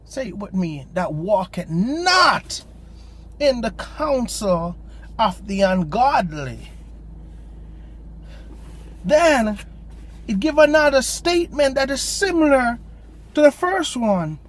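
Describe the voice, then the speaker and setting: A lay preacher with a strong Jamaican accent gives an informal devotional talk from a parked car, pausing and restarting often and lifting the voice when reciting scripture. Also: medium pitch, very animated, slight background noise. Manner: halting, with frequent pauses and restarts.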